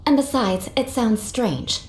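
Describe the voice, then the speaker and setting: A woman's voice: fairly high pitch, measured pace, darkish tone, a little hiss or hum, natural voice. An actress playing a proud, grudge-keeping young noblewoman in a video game, speaking with formal, composed, pompous diction. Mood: stern, instructive. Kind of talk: scripted video game dialogue. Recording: echoey, background game music.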